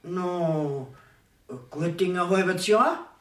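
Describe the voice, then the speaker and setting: A plain-spoken Bavarian country widow in a dialect radio play. She sounds set back in an echoing room, talking slowly and in an earthy, matter-of-fact way. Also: on the low side, distant mic. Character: plain-spoken middle-aged village widow and mother. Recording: roomy radio studio, off from mic.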